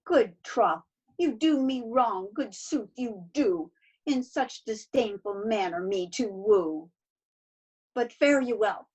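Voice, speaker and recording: A woman's voice: fairly high pitch, lively intonation, slow pace, a little mellow, breathy edge, heavily acted. A woman playing a desperate, self-pitying young Athenian woman in a stage verse read-through over a video call, plaintive and theatrical. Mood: hurt, indignant.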